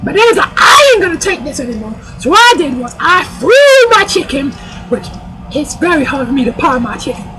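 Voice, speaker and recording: A performer voicing a loud, sassy older woman guest on a comic talk show, rambling and calling everyone "child". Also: high-pitched, big pitch swings, fairly quick, rough recording. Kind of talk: comic sketch.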